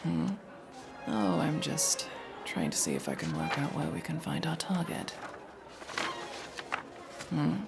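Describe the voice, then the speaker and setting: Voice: medium pitch, big pitch swings, slow pace, creaky, long pauses, exaggerated voice. A performer voicing a guarded, sardonic masked elf rogue in a casual ASMR roleplay, speaking to the listener with hesitant asides. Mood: wry, casual. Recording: music playing behind the voice.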